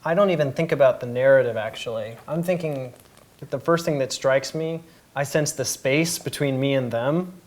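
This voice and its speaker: A woman's voice: very deep voice, moderate pace, darkish tone. A woman talking casually and informally as a host and photographer discussing pictures. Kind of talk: casual chat. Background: faint music.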